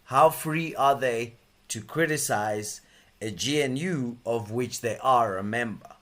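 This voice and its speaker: A man's voice: medium pitch, measured pace, fairly bright tone. A man hosting political commentary, setting up a clip with a pointed question. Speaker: host of a political commentary channel. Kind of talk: commentary narration.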